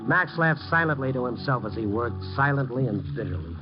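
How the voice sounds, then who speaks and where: An actor playing a wry, world-weary newspaper reporter in an old radio drama, talking and narrating in terse slang. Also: male voice, medium pitch, lively intonation, fairly quick, lightly acted. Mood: tense narration. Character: wry, hard-boiled newspaper reporter, loyal and protective. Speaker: actor in the lead role and narrator. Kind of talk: 1940s-50s radio drama acting, first-person narration. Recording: dull old radio recording, music behind.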